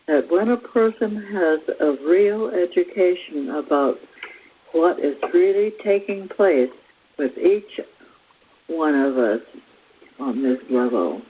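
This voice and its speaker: A man giving a spiritual lecture as a teacher, in deliberate, halting phrases with measured emphasis. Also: fairly high pitch. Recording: hissy, tinny phone-like recording.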